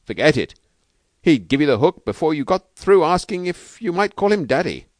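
A man's voice: fairly high pitch, very animated, normal speed, no room sound, voice pushed up. A man voicing a streetwise, good-hearted physical trainer in a storybook, emphatic and colloquial. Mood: blunt, warning. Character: slangy, brash, good-hearted tough-guy physical trainer.

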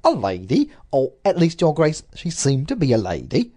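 A nervous, deferential servant answering his master with apologetic politeness, done by an audiobook reader. He sounds raised and lively in pitch. It sounds like a storybook reading. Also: normal speed. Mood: flustered. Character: deferential, flustered male household servant.

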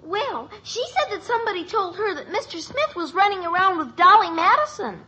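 Very high-voiced little radio-sitcom girl. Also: big pitch swings, brisk, sharp tone, heavily acted. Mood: innocent, hesitant. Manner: earnest, childlike. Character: innocent, gossipy little daughter in a family sitcom. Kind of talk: 1950s radio sitcom acting. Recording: noisy, muffled old radio broadcast.

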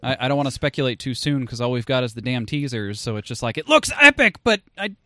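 A man hosts a casual comedy podcast with a very lively, varied pitch. His delivery is jokey and playful, and the recording is dry and close, free of room echo. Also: fast. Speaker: podcast host.

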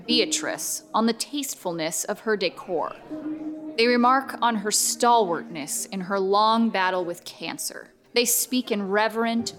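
A woman performing as a wry, ominous gothic storyteller, narrating a scripted radio drama in a measured, literary way. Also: lowish voice, fairly bright tone. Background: prominent music.

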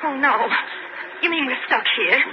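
An actress playing an anxious wife in 1950s radio suspense drama, with a worried, pleading manner. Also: female voice, high voice, animated, moderate pace. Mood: frightened. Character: anxious, frightened wife riding through a storm. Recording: noisy muffled 1950s radio recording.

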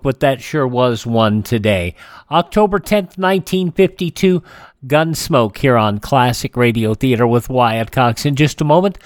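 Dry, lively radio host. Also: male voice, mid-range voice, no echo. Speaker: host of a classic radio program. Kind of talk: casual radio hosting.

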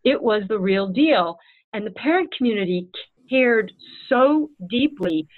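A woman who heads a school, answering interview questions in an earnest, animated way and acting out her own past thoughts. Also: fairly high pitch, measured pace. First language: English. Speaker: head of school. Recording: tinny phone-like line.

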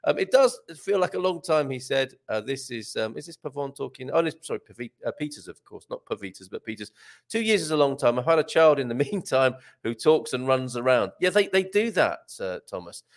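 A man's voice: medium pitch, very animated, fairly quick. A man hosting a casual live stream, reading items aloud and rambling off into jokey asides. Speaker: host of an expat live stream.

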